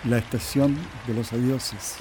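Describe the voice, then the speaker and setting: A man acts a gently ironic husband in a radio play. His voice is mellow and mid-pitched, with faint rain-like noise. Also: steady tone, a little slow, natural voice, low-quality recording. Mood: subdued. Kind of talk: radio drama acting.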